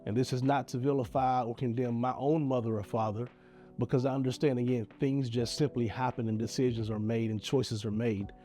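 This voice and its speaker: A man's voice: medium pitch, little pitch movement, brisk, warm tone, faint music behind. Nasal, congested man pleading earnestly. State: congested, nasal from sinus infection. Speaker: video creator giving advice. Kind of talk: earnest direct-to-camera message.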